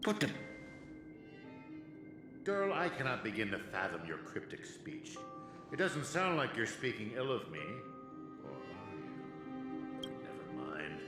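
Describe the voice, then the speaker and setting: A smug villainous professor lectures with a low voice that swoops animatedly between notes, condescending and theatrical. The game dialogue sits in a roomy space with music playing behind it. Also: male voice, very animated, takes it slowly, pauses often. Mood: smug lecturing. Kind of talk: video game voice acting.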